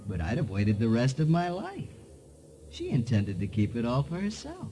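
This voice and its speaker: A man's voice: medium pitch, huge pitch swings, normal speed, mellow. An actor playing a bitter, scheming American man with an intense, dramatic delivery in a noir radio drama. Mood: bitter, confessing. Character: desperate male murderer confessing, bitter and scheming. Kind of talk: 1950s noir radio acting. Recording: noisy vintage radio recording.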